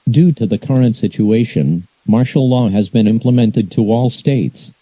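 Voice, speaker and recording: A man reading a formal scripted emergency bulletin as an alert announcer, in an impersonal, measured way. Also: medium pitch, warm tone, dry recording, low-quality recording.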